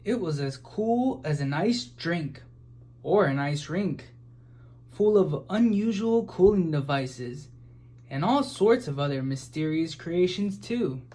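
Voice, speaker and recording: A person reading a children's picture book aloud with expressive, measured narration. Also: mid-range voice. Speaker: storybook reader.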